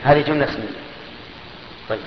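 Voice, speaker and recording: A man giving a grammar lesson, slowly parsing each sentence step by step and asking questions that he answers himself. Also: mid-range voice. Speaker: grammar teacher. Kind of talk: classical Arabic grammar lesson. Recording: echoey hall, dull lo-fi sound with faint noise.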